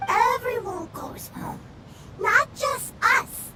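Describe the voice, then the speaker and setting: A woman voicing a small, gentle fungus creature in a video game, speaking in halting, simple broken phrases. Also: very high-pitched, animated, heavy background noise, heavily acted. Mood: gentle, pleading.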